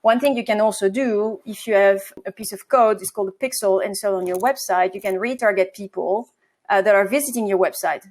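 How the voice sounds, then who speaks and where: A woman from France with a French accent, a marketing agency co-founder answering interview questions, with hesitations and self-corrections. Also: medium pitch.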